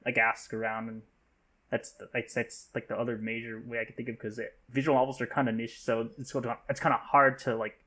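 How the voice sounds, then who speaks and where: A man with a medium-pitched voice chats casually on a podcast panel. He stumbles through his thoughts, repeating phrases and leaning often on the word like. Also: flat delivery, brisk, a bit bright.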